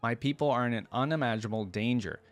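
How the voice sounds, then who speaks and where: A man's voice: medium pitch, quick pace, soft background music, natural voice. A man reading the part of a serene female demon-slaying warrior in formal, theatrical phrasing, as in a story. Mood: solemn, courteous.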